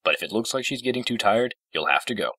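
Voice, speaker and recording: A man's voice: medium pitch, lively intonation, quick pace, sharp tone, dry recording, recorded from a distance, voice pushed up. A man voicing a bitter, protective male chimera creature in a storybook reading, curt and spiteful. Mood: curt, guarded.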